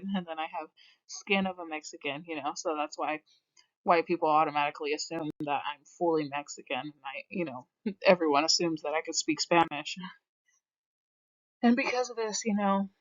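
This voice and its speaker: A young woman from the United States speaks in a candid, conversational monologue, leaning often on casual filler phrases. Her voice sits a little low for a woman. The recording is slightly dull and lo-fi. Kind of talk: personal reflective monologue.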